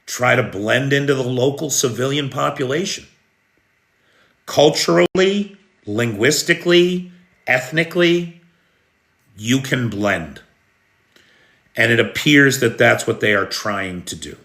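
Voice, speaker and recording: A man's voice: medium pitch, a little slow, vocal fry, pauses often, a bit bright, roomy sound. An American man hosting a live news stream, talking unhurriedly and answering viewers' comments. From United States. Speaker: news livestream host. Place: room in Kyiv during air alert.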